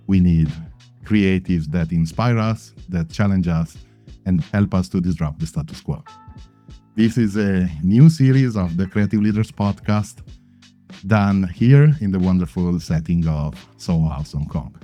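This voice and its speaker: A man with a lowish, mellow voice hosts an interview podcast. He speaks in a measured, emphatic way and frames his questions with care. The recording comes from a members' club lounge. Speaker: podcast host. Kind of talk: interview podcast, scripted opening.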